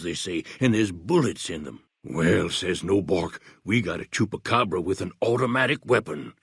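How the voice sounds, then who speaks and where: A man's voice: deep voice, slightly rough, dry recording, studio quality, full character voice. A man voicing a paranoid, rambling older wasteland eccentric in a video game, with a folksy backwoods drawl and a suspicious, conspiratorial manner. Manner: suspicious, conspiratorial, jumps between whispers and outbursts.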